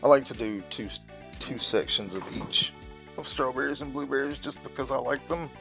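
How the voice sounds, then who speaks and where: A woman giving casual let's-play commentary as a gaming channel host, thinking aloud in an unhurried way. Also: rumbling low, creaky. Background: game music and sound effects.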